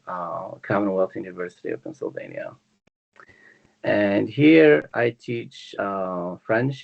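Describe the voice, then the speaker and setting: A man's voice: lowish voice, vocal fry, highs cut off. A man from Morocco with a Moroccan accent, answering interview questions conversationally as an academic guest. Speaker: guest, university language professor.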